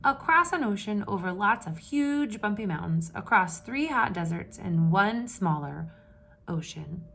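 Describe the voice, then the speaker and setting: A woman reading a picture book aloud to children with lively expression, pausing to ask her listeners questions in hushed asides. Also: mid-range voice, creaky, a little hiss or hum. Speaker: read-aloud storyteller for children.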